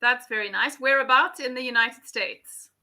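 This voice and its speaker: A woman's voice: fairly high pitch, moderate pace, pushed and bright. A South African woman with a South African English accent, tutoring English in a friendly, encouraging way over a video call. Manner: friendly, encouraging, lots of affirming "yeah". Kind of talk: casual conversation class.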